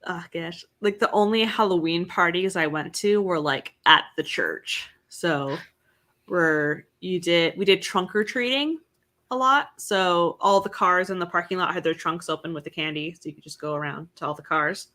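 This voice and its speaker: Bright-voiced woman hosting a chatty stream. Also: fairly low pitch, normal speed. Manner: greets viewers by name, reads chat aloud. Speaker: livestream host. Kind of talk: casual livestream chat.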